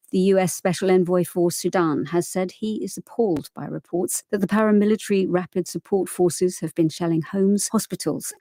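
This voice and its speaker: Male news reader, dark relaxed timbre, scripted international bulletin, dry studio sound. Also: sits high, dry recording. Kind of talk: scripted world news reading.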